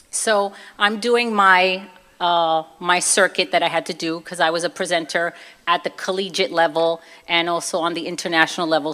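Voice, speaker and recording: Brooklyn lady w a lowish voice, chatty storyteller giving a personal talk, acts out dialogue. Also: sharp tone. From Brooklyn, New York, USA. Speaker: guest speaker telling personal story. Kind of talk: informal public personal testimony talk.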